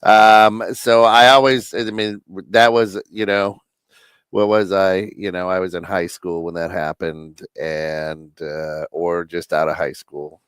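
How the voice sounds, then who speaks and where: A middle-aged man on a wrestling podcast, reminiscing in a halting way with many ums and uhs. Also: low voice, flat delivery, fairly bright tone, clean tone, no room sound. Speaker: podcast co-host. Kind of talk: casual wrestling chat.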